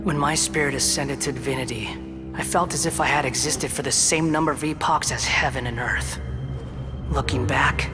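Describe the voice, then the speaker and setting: A man's voice: mid-range voice, fairly even intonation, moderate pace, music in the background, lightly acted. A man playing an arrogant young puppet turned god, a mocking and theatrical video game villain. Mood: grandiose, disdainful. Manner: haughty, theatrical, contemptuous.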